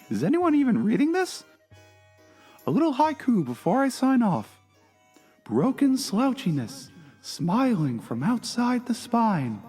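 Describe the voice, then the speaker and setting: Husky, swooping, gloomy blogger performed by an actor. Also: medium pitch, mellow, heavily acted. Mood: melodramatic. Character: gloomy, smarmy, oddball blogger, self-absorbed and melancholy. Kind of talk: dramatic reading of a comic blog. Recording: music under the voice.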